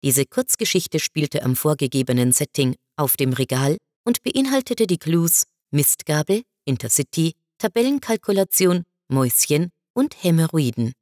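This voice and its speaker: A woman's voice: deep voice, sing-song, normal speed, hardly any pauses, darkish tone, dry recording, studio quality. A woman reading scripted first-person narration as the reader of an audio short story. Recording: music behind the voice. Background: music.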